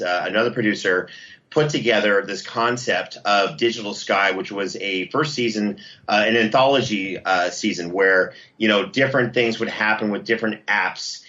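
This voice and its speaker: A man with a fairly low but very bright, forceful voice speaks as an interview guest. He is effusive and casual in promoting his projects, over a slightly dull, lo-fi line. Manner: enthusiastic, effusive. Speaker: interview guest, audio drama producer.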